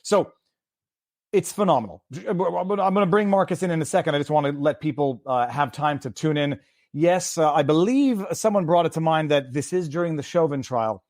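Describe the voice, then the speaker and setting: A man from Quebec hosts a livestream interview, talking in a chatty, rambling way and stacking up questions. His voice sits fairly high for a man. The sound is dry and close, with no room in it. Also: moderate pace, darkish tone, no echo. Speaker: host.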